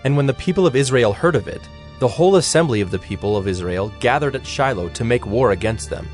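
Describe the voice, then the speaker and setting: A man giving a measured, formal narration of scripture as the narrator of a dramatized audio production. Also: medium pitch, animated. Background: instrumental music with plucked strings.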